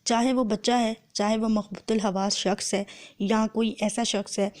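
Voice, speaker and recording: A woman's voice: mid-range voice, little pitch movement, normal speed, a little mellow. Pakistani woman lecturing with a sore throat. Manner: explanatory, translating phrase by phrase. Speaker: law lecturer. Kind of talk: lecture explaining law, mixing Urdu and English.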